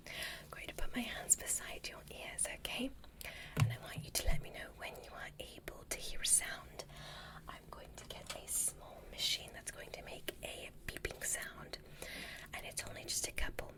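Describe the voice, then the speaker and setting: A woman voicing a polite, curious alien medical examiner in an ASMR roleplay, whispering gentle, formal step-by-step instructions. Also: moderate pace, close to an everyday voice. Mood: soothing, curious.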